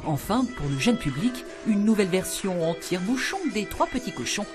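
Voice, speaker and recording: A woman, reading a scripted news voice-over in a measured, polished way. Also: medium pitch, expressive, music in the background. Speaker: news reporter.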